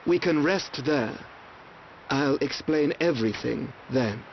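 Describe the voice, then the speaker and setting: A man's voice: medium pitch, slow, vocal fry. A man playing a composed, learned noble guide in a video game, with formal, precise, reserved speech. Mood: composed, serious. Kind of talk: video game cutscene acting. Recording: dull, slight hiss and noise.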